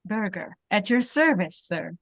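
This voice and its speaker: A woman playing a furtive, obsequious man peddling a ring, hushed and deferential, in an amateur script read-through. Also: medium pitch, lively intonation, unhurried, gravelly, exaggerated voice.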